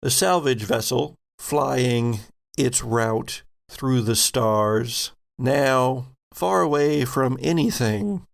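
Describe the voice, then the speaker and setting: A man acting as game master, narrating a tabletop roleplaying game in a halting, drawn-out way. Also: mid-range voice, creaky.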